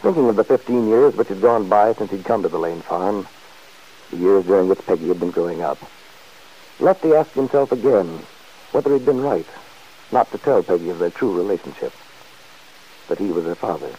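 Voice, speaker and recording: A man narrating a radio serial, reading the story in a measured storyteller's way. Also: deep voice, expressive, mellow, slight background noise. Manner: smooth, measured storyteller's delivery. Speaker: announcer and narrator. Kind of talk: scripted narration. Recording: dull, muffled old radio recording.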